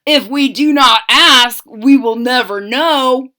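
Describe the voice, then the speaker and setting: A woman's voice: high voice, slow pace, sharp tone, heavily acted. A woman voicing a modest, hungry soldier who speaks earnestly in a storybook read-aloud.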